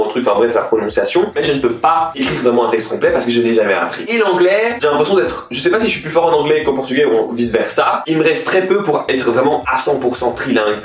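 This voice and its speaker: A young man chatting casually in a rambling way as a vlogger reacting to viewers. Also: medium pitch. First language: French. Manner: chatty, rambling, quick asides. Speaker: YouTuber. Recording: echoey room, distant mic.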